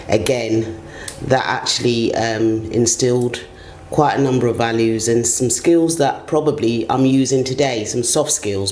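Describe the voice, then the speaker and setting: A woman's voice: extremely low pitch, a little mellow. Middle-aged woman, reflective interviewee, roomy sound with slight background noise. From Ghana and the UK. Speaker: interview guest, senior HR executive. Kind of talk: conversational interview answers.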